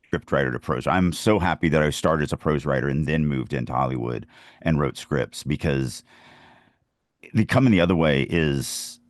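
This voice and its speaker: Man, very deep voice, dry close sound, casual podcast talk, emphatic, illustrative. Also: moderate pace, dry recording. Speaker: podcast host and writing coach. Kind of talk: casual podcast chat about writing.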